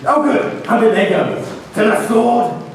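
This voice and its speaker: A man's voice: extremely high pitch, lively intonation, unhurried. A young man playing a scheming, exasperated royal father as a theatrical pantomime villain on a school stage. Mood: exasperated, eager. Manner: theatrical, exclamatory. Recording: echoey school hall, distant noisy audience recording.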